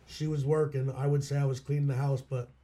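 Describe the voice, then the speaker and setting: A hoarse man from Pennsylvania, a local musician, telling stories about his past in a plain-spoken way. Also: mid-range voice, level tone, brisk, mellow. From Schuylkill County, Pennsylvania. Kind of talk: casual interview chat.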